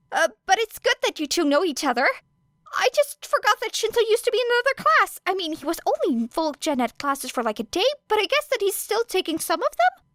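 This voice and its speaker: A woman's voice: very high-pitched, fairly bright tone, no echo, studio quality, pitched above the performer's own voice, heavily acted. A woman voicing a nervous teenage boy hero student who rambles in anxious run-on sentences. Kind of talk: audiobook character reading.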